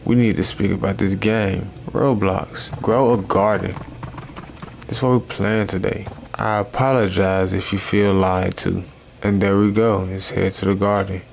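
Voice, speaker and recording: A man doing rambling, improvised commentary over a game he is playing, with a meandering, self-amused, slow deadpan delivery. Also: low-pitched, vocal fry, a little hiss or hum. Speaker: gaming YouTuber.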